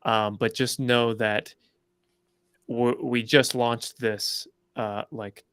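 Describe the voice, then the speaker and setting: Mid-pitched man, close dry sound. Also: little pitch movement, takes it slowly, fairly bright tone, clear, resonant tone, no echo. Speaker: co-presenter from a software company. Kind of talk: informal product explanation.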